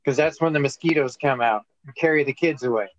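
A man's voice: mid-range voice, fast, dull audio, a little hiss or hum. A man co-hosting a podcast, chatting casually and telling rambling, joking stories. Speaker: podcast co-host. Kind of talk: casual chat.

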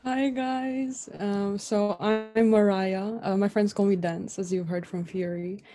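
A woman in her twenties from the Philippines, a podcast co-host and student, chatting in an easygoing, soft way. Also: mid-range voice, steady tone, slow, dry recording, studio quality, soft background music. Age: 20s. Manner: easygoing, soft, laughs lightly. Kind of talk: casual podcast introduction.

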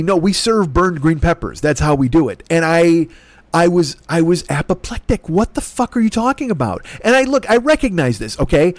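A man's voice: fairly high pitch, dry recording. A middle-aged man from Chicago with a Chicago accent, telling a long exasperated story in a heated, profane rant. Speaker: podcast host.

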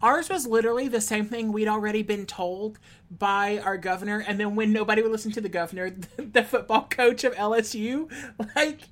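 A woman's voice: medium pitch, fairly quick. A woman co-hosting a podcast, chatting casually with wry, sarcastic quips. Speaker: podcast co-host. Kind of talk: casual chat.

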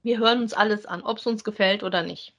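A woman's voice: medium pitch, steady tone, quick pace, fairly bright tone. Female podcast co-host, mid-range voice, informal conversational delivery. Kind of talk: casual chat about audio dramas.

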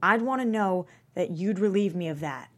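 An actress playing an anguished, guilt-ridden wife in a dramatic script read, speaking in a halting, naturalistic way. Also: female voice, mid-range voice, lively intonation, lightly acted. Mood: pleading. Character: anguished, guilt-ridden wife caring for her sick friend.

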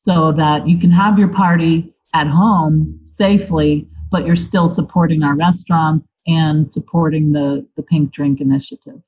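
A woman's voice: low voice, fairly even intonation, a little slow, hardly any pauses, slightly rough. A woman from Pennsylvania, a volunteer guest, chatting casually and telling a story in an interview. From Lebanon, Pennsylvania. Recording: tinny phone-like line.

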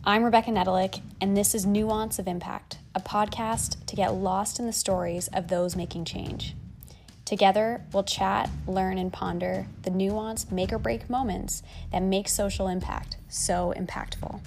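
A woman's voice: medium pitch, steady tone, a little slow, gravelly, darkish tone, soft background music. Upbeat woman podcast host. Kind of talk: scripted intro then casual interview.

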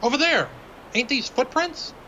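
A man performs a cowardly, superstitious country fellow in an old-time radio chiller. His voice is high and sharp, with a folksy, pleading stammer. The homemade recording is muffled, with clear noise behind him. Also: animated, normal speed, full character voice. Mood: uneasy. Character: nervous, cowardly small-town man, superstitious sidekick. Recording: noisy, dull homemade radio drama recording.

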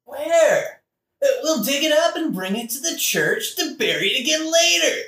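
Woman acting dopey bro henchman, easily baffled, slangy, highish, echoey room, audio comedy drama. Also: big pitch swings, normal speed, a bit bright, heavily acted. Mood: urgent, confused. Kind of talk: satirical audio play acting.

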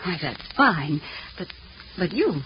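A woman's voice: fairly low pitch, very animated, slow pace. A woman acting as a devoted, determined mother of little means in a 1940s radio drama, speaking earnestly and tenderly. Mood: motherly. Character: devoted, proud single mother, poor but determined. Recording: dull, noisy 1940 radio broadcast.

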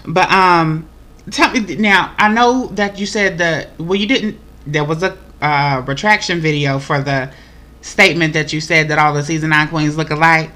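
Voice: mid-range voice, moderate pace, bright, forceful tone. A podcast host in a casual interview chat, talkative and encouraging, often repeating the same phrases. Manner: chatty, encouraging, repeats itself.

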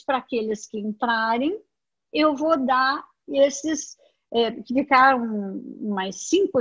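A Brazilian woman with a high voice and a Brazilian Portuguese accent recounts her story slowly and unhurriedly. She acts out the conversations she remembers, so her storytelling stays lively. The recording is dull and lo-fi. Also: breathy edge. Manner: animated storytelling, acts out quoted dialogue. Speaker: speaker telling her business story. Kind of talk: personal testimony to an audience. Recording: dull lo-fi audio.

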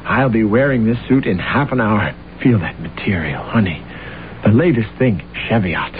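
An actor playing a boastful, self-deluded husband who talks with brash bluster, in a radio drama. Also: male voice, lowish voice, animated, moderate pace, lightly acted. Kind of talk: 1970s radio drama acting. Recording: tinny old radio broadcast with faint hiss.

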